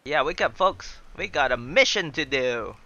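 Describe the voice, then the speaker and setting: A man's voice: a little high, expressive, normal speed, sharp tone, lo-fi sound. A man doing casual livestream game commentary in a playful, exclamatory way, sometimes reading dialogue aloud. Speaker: streamer, virtual avatar host. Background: game music.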